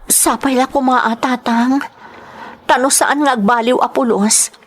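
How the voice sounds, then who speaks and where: Lady playing long-suffering young wife in a drama, slightly high voice, pleading and earnest. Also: steady tone, measured pace. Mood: sorrowful, hopeful. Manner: plaintive, earnest. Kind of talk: Ilocano audio drama acting.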